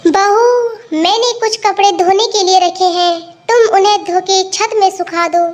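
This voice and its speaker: A woman's voice: very high-pitched, fairly even intonation, measured pace, voice pushed up. A woman voicing an older, bossy mother-in-law in a Hindi cartoon story, with an emphatic way of talking. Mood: scolding. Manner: scolding, sarcastic, emphatic.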